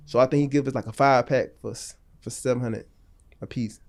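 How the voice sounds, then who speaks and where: A man in his late thirties from North Florida talks as a podcast guest at an ordinary mid pitch. His delivery is loose and rambling, full of slang and restarts. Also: steady tone, fairly quick, creaky, darkish tone. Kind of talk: casual storytelling interview.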